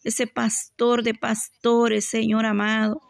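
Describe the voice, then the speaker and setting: A woman leads a fervent spoken prayer in a fairly high, creaky voice. She keeps a narrow, even pitch and an unhurried pace as she pleads devoutly, returning to repeated invocations. The sound is dry and close. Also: dry recording. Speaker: religious worshipper leading prayer.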